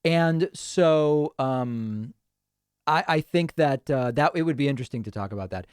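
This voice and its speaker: Man, livestream host, casual chat, thinking out loud, dry close sound. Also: mid-range voice, expressive, a little slow, clear, resonant tone, no echo. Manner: chatty, thinking aloud with restarts and small laughs. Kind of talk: casual livestream chat reading viewer comments.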